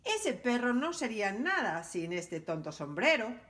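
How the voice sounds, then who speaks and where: Petulant vain cat star, jealous, as a woman reads him, highish lively voice. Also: measured pace.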